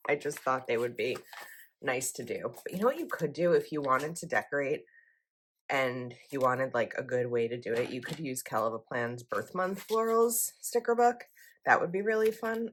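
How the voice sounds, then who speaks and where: Chatty low-voiced woman, froggy morning voice. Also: a bit bright, a little hiss or hum. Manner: chatty, rambling with likes and ums. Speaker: planner vlogger. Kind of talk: casual chat while decorating a planner.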